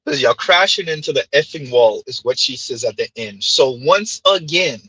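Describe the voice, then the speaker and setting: A man with a Haitian accent commenting on clips during a live stream, emphatic and rhetorical, with a husky voice. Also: medium pitch, expressive, normal speed, sharp tone, no room sound, poor audio. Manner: emphatic, rhetorical "huh?" asides.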